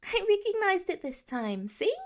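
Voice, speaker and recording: Proud, eager small boy put on by a female narrator, very high, loud and animated, audiobook. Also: normal speed. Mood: excited. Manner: squealing, eager.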